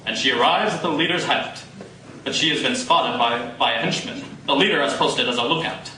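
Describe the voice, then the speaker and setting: A man's voice: medium pitch, brisk, pushed and bright, a little hiss or hum. A man narrating a live radio play in a grandiose, theatrical 1940s announcer style. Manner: grandiose, dramatic. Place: live performance in a coffee house. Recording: echoey room from far off, music.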